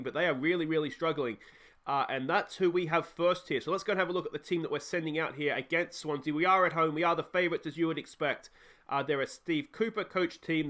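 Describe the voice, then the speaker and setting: Croaky Australian man, higher voice. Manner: chatty, reacts excitedly to match highlights. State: slightly croaky from a cold. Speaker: gaming channel host. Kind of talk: casual let's play commentary.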